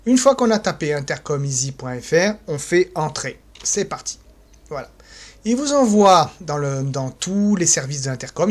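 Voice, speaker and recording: Hesitant tutorial voice. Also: mid-range voice. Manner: hesitant, full of "alors" and "euh", mouths click sounds like "poc". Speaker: tutorial presenter for an association. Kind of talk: step-by-step phone tutorial.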